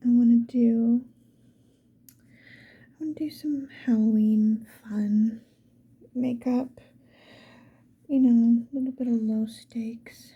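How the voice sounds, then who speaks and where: A woman doing casual ASMR, whispering softly and musing to the viewer in an unhurried get-ready-with-me chat. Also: on the high side, fairly even intonation, husky. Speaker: ASMR video creator. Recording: muffled lo-fi with background hiss.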